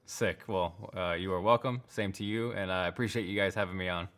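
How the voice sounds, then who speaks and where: A man's voice: low voice, fairly even intonation, a little fast, fairly bright tone. A man appearing as a podcast guest, chatting in a laid-back, slangy, casual manner. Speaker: podcast guest, tech YouTuber. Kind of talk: casual chat.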